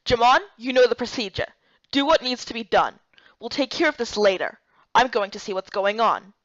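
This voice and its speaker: Casting: woman as imperious game fox girl, accusatory, raised high voice, very dry recording. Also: moderate pace, a bit bright, no room sound, lo-fi sound, lightly acted. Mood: brisk, commanding. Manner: commanding, accusatory. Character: bossy, suspicious fox girl interrogating an intruder. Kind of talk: amateur game voice acting.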